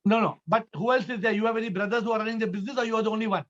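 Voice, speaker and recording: A man's voice: high voice, fairly even intonation, very fast. A man with an Indian accent, a spiritual teacher answering questions in an emphatic, didactic way, often repeating phrases and posing rhetorical questions. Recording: dull lo-fi online call audio.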